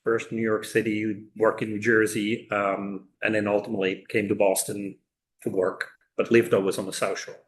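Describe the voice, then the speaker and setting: A middle-aged man with a Swiss accent and a fairly low voice. He talks casually and loosely about himself at a public meeting, with faint room noise behind him. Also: level tone, moderate pace. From Switzerland. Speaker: committee applicant. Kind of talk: informal self-introduction at a public meeting.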